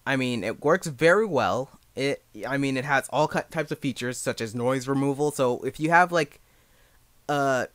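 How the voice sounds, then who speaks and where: A young man giving a casual, unscripted tutorial in a rambling, halting, self-deprecating way. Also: medium pitch, a bit bright, no room sound. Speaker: amateur voice actor giving advice.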